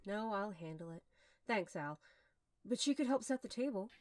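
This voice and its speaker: A woman's voice: mid-range voice, animated, normal speed, natural voice. A young woman playing a headstrong, self-assured 1940s wife and mother in a radio drama. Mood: defiant. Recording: loud background noise and clatter.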